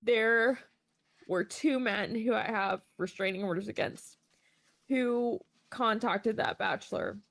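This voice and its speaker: A woman making a personal video statement, talking hesitantly with many pauses and ums. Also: mid-range voice, creaky, fairly bright tone, no echo.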